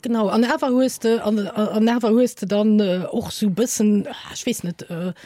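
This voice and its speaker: A woman's voice: mid-range voice, normal speed, dark tone, no echo, clean studio sound. A woman with a Luxembourgish accent giving her views as a critic in an unscripted radio film discussion.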